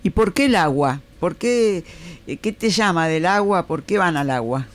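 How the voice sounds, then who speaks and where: A man's voice: sits high, lively intonation, moderate pace. A man hosting a radio interview, introducing his guest with enthusiasm. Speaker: radio host.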